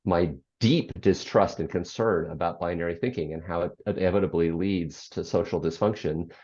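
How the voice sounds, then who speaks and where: A speaker in their sixties with a low bass voice, talking reflectively as an interview guest, pausing often with ums and restarting sentences. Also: darkish tone, slightly rough. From United States. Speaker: guest, author and former radio program director. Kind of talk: interview conversation. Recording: slightly dull lo-fi audio.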